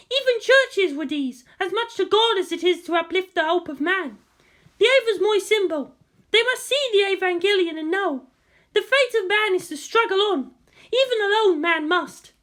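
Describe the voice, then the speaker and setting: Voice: very high voice, a bit bright, voice pushed up. A narrator voicing a grim, resolute young female lieutenant in a story, speaking formally and earnestly. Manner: formal, earnest speech-making.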